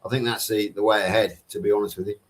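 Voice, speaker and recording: A man's voice: on the low side, fairly even intonation, quick pace. A middle-aged man from Somerset with a nondescript Southern English accent, chatting casually on a livestream and answering viewers in a wordy, self-rephrasing way. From Somerset, England. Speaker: panel participant on a livestream. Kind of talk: casual live chat, answering viewers' questions.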